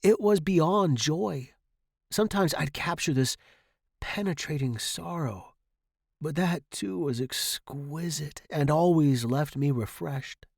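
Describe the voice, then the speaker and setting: A man's voice: a little high, takes it slowly, vocal fry, dry recording, studio quality, heavily acted. A man playing an earnest, self-assured saxophonist in a theatrical, emphatic first-person story monologue. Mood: rapturous. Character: earnest, self-assured male saxophonist recalling his mentor. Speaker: story narrator.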